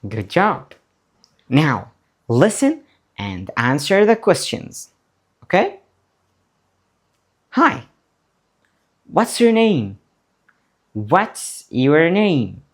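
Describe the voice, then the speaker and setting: A man teaching a beginner lesson, saying words slowly and repeating them for learners to copy. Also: fairly high pitch, sing-song. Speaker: English teacher. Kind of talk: slow beginner English lesson, drilling words.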